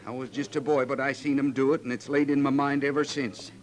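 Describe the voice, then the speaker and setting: A man's voice: medium pitch, level tone, a little fast, natural voice. An actor playing a grim, vengeful cattleman with rustic, drawling speech in a 1950s radio western.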